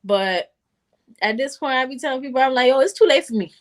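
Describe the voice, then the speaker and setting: A woman in her late twenties with a fairly high voice talks in a casual group conversation. She is animated and slangy, joking her way through her points as a podcast panelist. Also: fairly quick. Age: late 20s.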